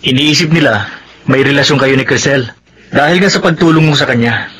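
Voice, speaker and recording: A man's voice: mid-range voice, brisk, fairly bright tone, husky, poor audio. A man playing a supportive young village man in a radio drama, easygoing and reassuring. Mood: friendly. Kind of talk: Filipino radio drama acting.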